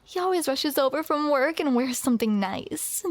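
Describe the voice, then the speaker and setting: A woman's voice: high-pitched, expressive, normal speed, dry recording. A young woman performing a scripted romantic comedy audio roleplay, flustered and playful, often breaking into awkward laughs.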